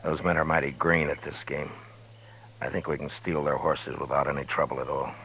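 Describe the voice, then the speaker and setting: An actor playing a steady, commanding male frontier marshal who also narrates, in a 1950s radio drama, calm and authoritative. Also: rumbling low, brisk, slight background noise, low-quality recording. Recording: old radio broadcast with music bed.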